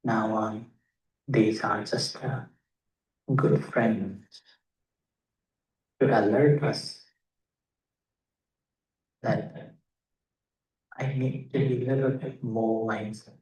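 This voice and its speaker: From across an echoey room, a man leads a guided meditation. His delivery is slow and measured, with pauses between short phrases. He sounds like a teacher giving step-by-step instruction. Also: lowish voice, steady tone, darkish tone. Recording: roomy echo, distant microphone.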